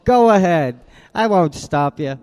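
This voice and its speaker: A tough private eye in a radio play. He delivers clipped, wry, hard-boiled lines, and his voice sits slightly high. Faint music plays under a long reverb. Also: expressive, unhurried. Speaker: narrator and lead. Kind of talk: radio drama acting.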